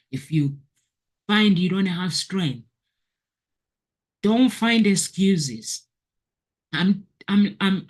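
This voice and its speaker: A woman hosting a motivational video, talking earnestly to her viewers and repeating her key phrases. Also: on the low side.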